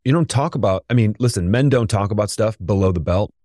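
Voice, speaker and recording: A middle-aged man co-hosting a podcast, his voice raspy today, reflecting in casual chat and searching for words. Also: fairly low pitch, animated, fast talker, mellow, dry recording, clean studio sound. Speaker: podcast co-host.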